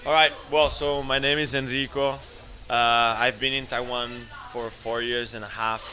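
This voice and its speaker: Bright-voiced Italian man telling stories. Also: medium pitch, normal speed. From Italy. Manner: animated, laughs often, acts out quoted voices. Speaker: guest, restaurateur. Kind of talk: casual storytelling.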